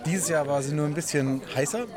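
A man's voice: on the high side, brisk. A man chatting casually as an interviewee. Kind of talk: casual chat. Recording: loud crowd noise at event.

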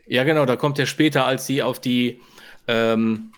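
A man's voice: medium pitch, fairly even intonation, measured pace, clean tone. A man co-hosting a podcast, giving his impressions in a casual, conversational review style. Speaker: podcast co-host and audio drama maker.

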